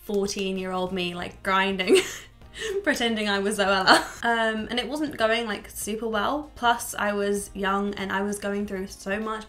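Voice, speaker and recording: A young woman talks casually to camera from a small room at home, candid and quick to swear. Her voice sits at a medium pitch and sounds a little stuffy from a cold. Faint music plays underneath. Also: a bit bright. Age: 20s. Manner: chatty, candid, swears freely, laughs often. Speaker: YouTuber.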